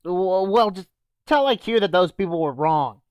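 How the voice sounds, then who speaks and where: A woman's voice: lowish voice, expressive, normal speed, a little mellow, no echo. A young woman giving a casual comedic recap as a reviewer, in a hesitant, stumbling, dry manner. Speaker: anime reviewer.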